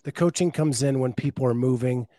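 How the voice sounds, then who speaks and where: A man's voice: medium pitch, a little fast, dark tone, dry recording, clean studio sound. A man hosting a podcast, reading listener comments aloud and expanding on them in casual chat. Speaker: podcast host.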